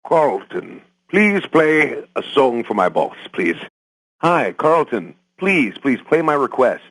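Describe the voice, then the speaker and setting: Flattering male caller, exaggerated begging, animated mid-range voice, game radio phone-in. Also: mid-range voice, takes it slowly, breathy edge, no echo, exaggerated voice, poor audio. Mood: pleading.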